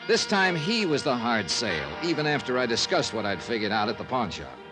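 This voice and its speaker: A man's voice: mid-range voice, big pitch swings, brisk, over music, close to an everyday voice, rough recording. A man playing a wry, persistent insurance investigator, narrating and talking in clipped 1950s radio drama style. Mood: wry, measured. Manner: punchy, clipped, conversational. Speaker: narrator and lead detective. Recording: old-time radio recording.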